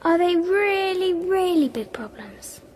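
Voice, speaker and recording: Very high, shy little girl. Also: animated, slow pace, a little mellow, slight background noise, full character voice. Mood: curious, playful. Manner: hesitant, apologetic, childlike. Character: shy, curious, playful little girl named Sophia. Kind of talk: radio drama acting.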